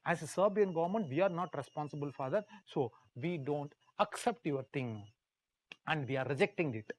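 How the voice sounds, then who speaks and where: High-pitched Indian man teaching with heavy repetition. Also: expressive, normal speed, no echo, poor audio. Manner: emphatic, repeats key phrases for students; puts questions to the class. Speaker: history teacher. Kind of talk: live classroom lecture told as dramatized story.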